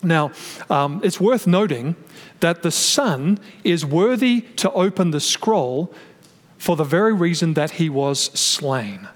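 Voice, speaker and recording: A man's voice: fairly high pitch, animated, slow pace. A man preaching a sermon from his notes, explaining scripture in a teaching manner with frequent restarts, his voice carried by a microphone and speakers. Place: church hall with a sound system. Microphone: microphone through a PA system. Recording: long reverb of a hall.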